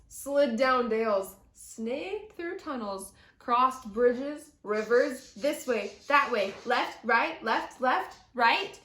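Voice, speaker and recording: A woman reading a picture book aloud to children with deliberate, dramatic, expressive phrasing. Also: fairly high pitch. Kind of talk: expressive children's story narration. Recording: roomy echo.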